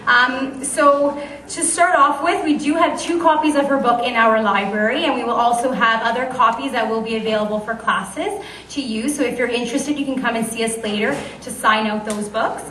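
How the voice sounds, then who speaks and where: A woman school librarian opening a lecture event with a bright, welcoming announcement to students. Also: a little high, normal speed, a little hiss or hum. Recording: distant, roomy school library.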